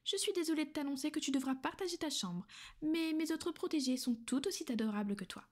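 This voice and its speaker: Casting: a coaxing vampire lady, soft half-whispers, a touch high, voiced by a woman. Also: expressive, brisk. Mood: teasing. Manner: soothing, coaxing and possessive. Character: gentle, reassuring female vampire mistress. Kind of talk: ASMR roleplay.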